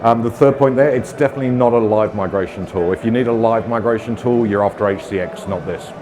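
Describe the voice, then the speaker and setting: Brit guy, middle-aged, lowish voice, chatty tech talk, lots of ums, crowd noise behind. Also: fast talker. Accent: British. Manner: casual, self-deprecating, frequent ums and uhs. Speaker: conference speaker. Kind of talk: technical conference talk. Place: conference room with audience.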